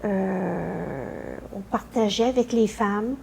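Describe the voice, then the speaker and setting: An older woman with a Quebec French accent recounting memories in a halting way, searching for her words. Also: medium pitch, vocal fry, mellow, slight background noise. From Canada. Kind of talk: unscripted personal recollection.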